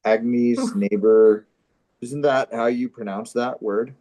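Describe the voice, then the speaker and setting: Deadpan male comedy livestream host. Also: medium pitch, slow. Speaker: co-host of a live comedy show. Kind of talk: casual deadpan banter on a livestream. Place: online video call.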